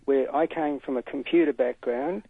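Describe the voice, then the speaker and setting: An older man rings in to a radio show and talks earnestly, leaning hard on his points. His voice is gravelly and a little husky, and it comes through a thin, tinny phone line with no room sound at all. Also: medium pitch, normal speed, no room sound. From Australia. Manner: earnest, emphatic, talkative. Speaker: caller.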